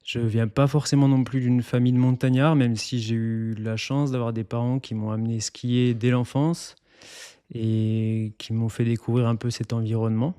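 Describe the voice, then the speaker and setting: A man from eastern France with a mid-pitched, mellow voice answers interview questions as a mountain guide. He explains things calmly and steadily, pausing now and then to find his words. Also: clean tone. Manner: calm and explanatory, with some hesitations. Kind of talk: interview guest.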